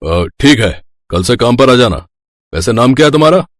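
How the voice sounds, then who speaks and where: Man acting a kindly, gruff grain merchant. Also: mid-range voice, lively intonation, moderate pace, no echo, clean studio sound, natural voice. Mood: businesslike. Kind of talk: acted dialogue in a children's moral story.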